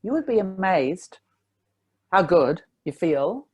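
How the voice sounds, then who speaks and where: Low-voiced woman voice teacher, reflective. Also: animated, slow, a little mellow, husky. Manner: reflective, spiritual, demonstrates pitch while talking. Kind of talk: relaxed conversational interview.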